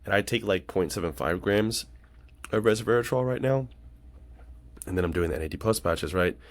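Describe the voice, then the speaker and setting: Deep-voiced man in casual conversation. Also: normal speed. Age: late 30s. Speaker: podcast conversation partner. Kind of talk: casual podcast chat.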